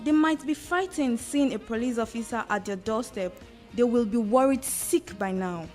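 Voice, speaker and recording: A woman's voice: fairly high pitch, normal speed, darkish tone, faint music behind, lightly acted. A woman playing a commanding but compassionate madam in a radio drama, speaking emphatically with a Nigerian accent. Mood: shocked, concerned. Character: commanding, compassionate well-off madam, quick to take charge.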